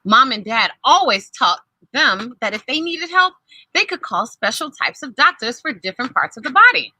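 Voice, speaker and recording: Female storyteller for kids' picture books, very bright and forceful, lively melodic delivery, pauses to question young listeners. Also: sits high, slight background noise. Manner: animated, asks the children questions as she reads. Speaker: storyteller reading a children's book aloud to listening children. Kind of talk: expressive read-aloud with conversational asides to children.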